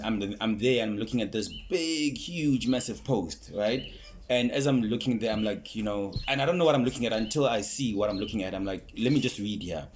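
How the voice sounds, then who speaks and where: A man's voice: on the low side, slight background noise. A South African man hosting a casual podcast, telling a story with many fillers and asides. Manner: rambling, lots of fillers, "you know what I mean". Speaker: podcast host.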